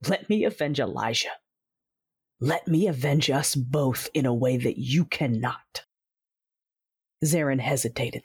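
A woman narrating and voicing a cold, proud female Sith warrior and mother in an audio drama, in a deliberate, measured way. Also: rumbling low, a little mellow, slightly rough, no echo, clean studio sound.

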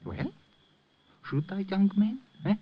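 An actor playing a weary, sly old immigrant father with halting, emphatic, foreign-accented speech in a 1950s radio drama. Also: high voice, animated, highs cut off, slight background noise. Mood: sly, gleeful. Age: older. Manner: rambling, emphatic, repeats words, prodding questions.

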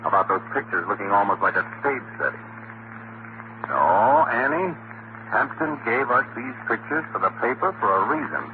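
An actor playing a quick-witted, slangy, insistent newspaper photographer sleuth in a 1940s radio drama. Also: male voice, medium pitch, animated, close to an everyday voice. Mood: excited, insistent. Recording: scratchy, muffled, noisy 1940s radio recording.

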